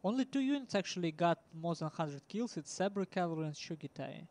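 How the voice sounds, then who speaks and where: Male caster, Russian accent, slightly higher voice, halting delivery full of fillers, casual match commentary. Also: expressive, darkish tone. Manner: halting, many ums and uhs, chuckles. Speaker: esports commentator.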